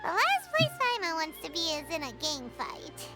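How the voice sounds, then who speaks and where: A tiny excitable fairy sidekick, squealing high and bouncing wildly up and down in pitch. She chirps along in bubbly game-character fashion while game music plays clearly behind her. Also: moderate pace, heavily acted. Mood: cheerful. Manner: bubbly, refers to herself by name. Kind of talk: video game voice acting.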